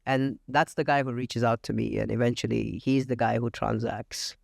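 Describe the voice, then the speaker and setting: A man from India, a real estate agent talking as a podcast guest, confident and matter-of-fact with frequent pauses. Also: mid-range voice, steady tone, vocal fry, darkish tone, dry recording, studio quality. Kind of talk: casual interview talk. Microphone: podcast studio mic.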